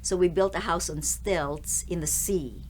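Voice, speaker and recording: A middle-aged woman from the Philippines, a university president, answering interview questions in a measured, articulate way. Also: fairly low pitch, a little mellow, slight background noise.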